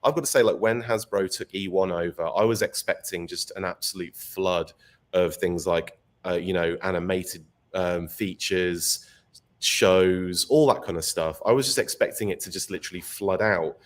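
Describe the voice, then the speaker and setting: Man, podcast host, croaky sick voice, low-pitched, chatty, laughs a lot. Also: moderate pace. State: croaky voice from illness. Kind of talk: casual live-stream chat.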